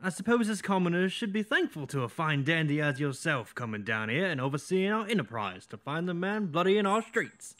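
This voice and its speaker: A man's voice: on the high side, sing-song, brisk, hardly any pauses, fairly bright tone. An actor playing a resentful, sarcastic working-class vigilante man in a horror audio drama, mocking and sneering.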